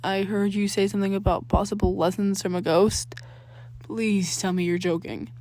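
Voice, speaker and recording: Skeptical teen boy sidekick, voiced by a woman: flat, wary wisecracks, dry recording. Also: medium pitch, normal speed, dry recording, close to an everyday voice. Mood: puzzled. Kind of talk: acted fan fiction dialogue.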